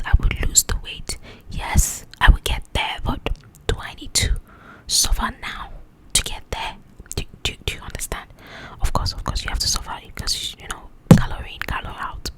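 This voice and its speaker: A woman speaking in a breathy, confiding whisper, chatting casually to the listener in an ASMR style. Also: rough recording. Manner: confiding, conversational, checks that the listener follows. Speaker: host of an ASMR book channel. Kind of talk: ASMR, whispered chat about book highlights.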